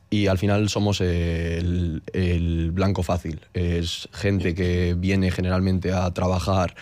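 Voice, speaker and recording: Deep, flat-voiced male radio guest. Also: no echo. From Basque Country. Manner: earnest, halting with fillers. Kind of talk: radio interview.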